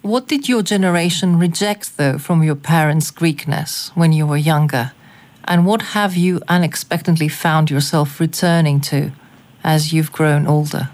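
Female interviewer, slightly low register. Articulate, scripted, reflective and unhurried in phrasing. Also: darkish tone. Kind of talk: scripted, formal interview narration.

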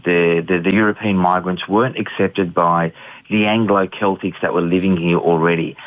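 Deep gravelly man on muffled radio. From Australia. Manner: hesitant, many fillers and restarts. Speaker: interview guest, artist. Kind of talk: reflective interview answers.

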